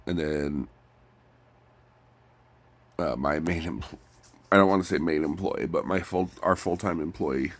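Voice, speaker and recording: A man with a stuffy nose chats casually in a personal vlog, rambling and halting, often repeating his phrases. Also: extremely low pitch. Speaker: vlogger. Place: recorded lying down.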